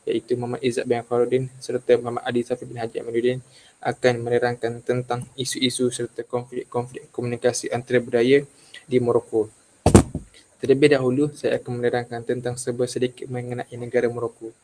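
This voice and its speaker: A young man from Kelantan giving a halting class presentation, full of fillers and restarts. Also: medium pitch, monotone, darkish tone, poor audio. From Malaysia, Kelantan. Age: young adult. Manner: hesitant, many fillers and restarts. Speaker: student presenter.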